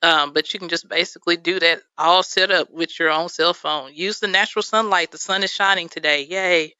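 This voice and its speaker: A woman's voice: lowish voice, steady tone, brisk, pushed and bright, smooth, no echo. A woman videographer, a guest on a radio show, who explains things chattily with many fillers and laughs easily, with a Texan accent. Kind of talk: radio interview. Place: radio studio.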